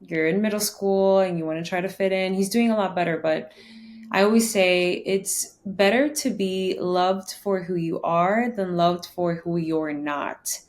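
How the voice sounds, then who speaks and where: A woman's voice: fairly low pitch, fairly even intonation, measured pace, darkish tone. Earnest, emphatic woman podcast host. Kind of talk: casual motivational conversation.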